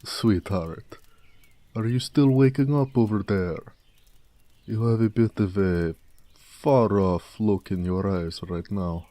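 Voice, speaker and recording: A man playing a big, muscular orc blacksmith in an intimate audio drama, proud, gentle and affectionate, with courteous, slightly formal speech. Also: fairly low pitch, dry recording. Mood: sheepish, considerate. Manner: unhurried, soft-spoken, courteous, slightly formal. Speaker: voice actor.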